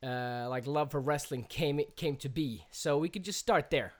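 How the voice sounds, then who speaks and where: A man hosting a podcast, asking questions in a halting way and stumbling over his words. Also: fairly high pitch. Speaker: podcast host. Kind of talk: casual interview chat.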